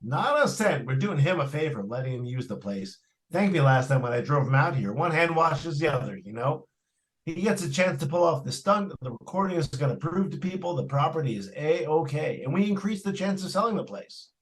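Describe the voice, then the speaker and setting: A man's voice: on the high side, animated, fairly quick, close to an everyday voice, poor audio. A man playing an eager, talkative property salesman in old-time radio suspense style, with a folksy, persuasive manner. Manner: folksy, persuasive, colloquial phrasing. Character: eager, talkative salesman trying to unload a haunted house.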